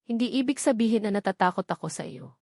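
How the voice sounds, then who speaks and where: A menacing young master of martial arts voiced by a woman narrator speaks in an audiobook. He is cold and taunting, issuing threats. The sound is dry and the pitch is moderate. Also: expressive, quick pace, a little mellow, no room sound.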